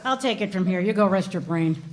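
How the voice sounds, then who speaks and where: A woman's voice: medium pitch, expressive, noisy background, natural voice. Woman playing a sarcastic, bossy know-it-all. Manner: dry, teasing and quick with insults. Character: sharp-tongued sarcastic woman, clever and bossy. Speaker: voice actor at a table read.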